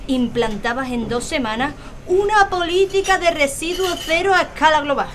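A woman's voice: high voice, expressive, normal speed, a bit bright. A young woman playing a teasing, sarcastic teenage daughter with an Andalusian accent in an audio drama. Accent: Andalusian Spanish.

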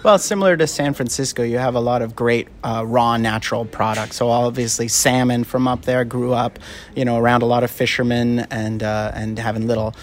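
A man from Canada, a chef being interviewed on radio, speaking in a relaxed way with many hesitations. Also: mid-range voice, a little hiss or hum. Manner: relaxed, halting with many fillers.

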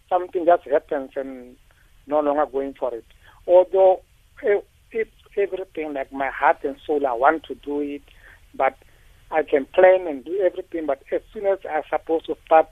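Male caller, slightly high voice, halting, phone-line sound. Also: no echo. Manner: halting, searches for words. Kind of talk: call-in question on the phone.

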